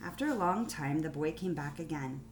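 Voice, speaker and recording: A woman teacher reading a children's story aloud, deliberate and expressive, with pauses between phrases. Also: deep voice, slight background noise.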